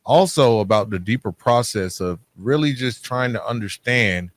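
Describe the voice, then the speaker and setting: A man's voice: on the low side, animated, normal speed, no echo. A man hosting a podcast, interviewing in a casual, informal and slangy way. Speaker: podcast host.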